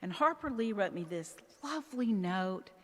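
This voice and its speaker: A middle-aged woman gives a prepared motivational talk to a church audience, emphatic and animated, her voice jumping up sharply on key words. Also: medium pitch, normal speed. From Southern United States. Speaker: author speaking to a church audience. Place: church hall. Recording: long reverb of a large hall.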